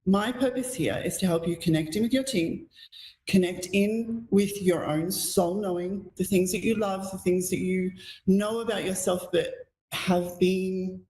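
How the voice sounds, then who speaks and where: A woman hosting a live psychic radio show, slightly croaky from being sick, talking in a gentle, flowing, spiritual way. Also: mid-range voice, moderate pace, a little hiss or hum. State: croaky from illness. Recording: echoey room.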